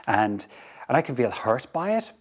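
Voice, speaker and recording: A man's voice: medium pitch, very animated, normal speed. A man with an Irish accent hosting a motivational talk on leadership, earnest and repetitive, often checking in with "okay?". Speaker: podcast host.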